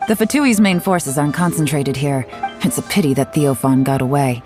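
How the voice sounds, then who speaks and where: A woman's voice: deep voice, normal speed, over music, lightly acted. A woman playing a cool, shrewd, self-assured young intelligence agent in a video game, composed and wry. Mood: calculating.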